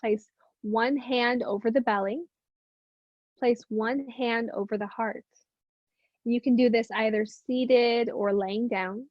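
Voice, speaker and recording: A woman teaching meditation, speaking gently and unhurriedly with long pauses in soothing guided instruction. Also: fairly high pitch, fairly even intonation, no room sound, lo-fi sound.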